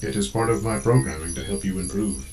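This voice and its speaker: A man's voice: fairly low pitch, fairly quick, background noise, natural voice. A man voicing a prim, scolding spaceship computer with formal, stilted delivery in a sci-fi audio comedy. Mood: prim, officious.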